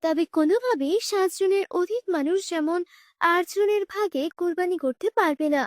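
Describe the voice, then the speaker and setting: A woman's voice: very high voice, moderate pace, no room sound, clean studio sound. A woman voicing an earnest, knowledgeable girl in a children's cartoon, firmly explaining rules to her friends. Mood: earnest, instructive. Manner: didactic, explanatory.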